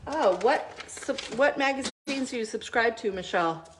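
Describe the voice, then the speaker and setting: A woman's voice: fairly high pitch, lively intonation, normal speed, noisy background. A middle-aged woman hosting a live crafting video, chatting casually with many ums while she works, still stuffy from a cold.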